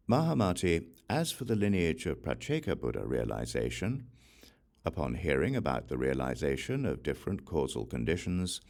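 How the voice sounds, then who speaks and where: A man reading a Buddhist scripture aloud as an audiobook narrator, in a measured, solemn manner. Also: very deep voice, creaky, darkish tone. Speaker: audiobook reader.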